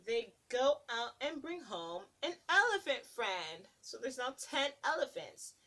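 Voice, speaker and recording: A woman's voice: sits high, big pitch swings, measured pace, pushed and bright. A woman preschool teacher reading a picture book aloud to young children, stopping to ask them questions. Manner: sing-song, asks children questions between pages.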